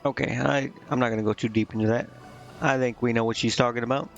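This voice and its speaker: A man's voice: medium pitch, steady tone, fast talker, vocal fry, highs cut off. A man giving casual, hesitant game commentary, thinking aloud as he plays. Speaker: game streamer. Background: game music.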